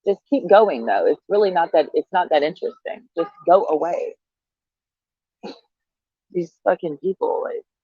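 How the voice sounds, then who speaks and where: A woman's voice: lowish voice, no room sound, highs cut off. A woman in her thirties vlogging in a brash, profane, sarcastic monologue, laughing often as she talks. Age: 30s. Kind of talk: casual monologue to camera.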